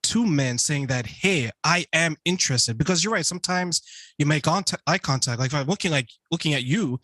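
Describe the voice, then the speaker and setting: A man's voice: on the high side, fairly quick, dry recording. Easygoing male interview host, dry recording, asks rambling questions. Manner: relaxed, laughs easily, asks long questions. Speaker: show host. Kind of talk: conversational interview.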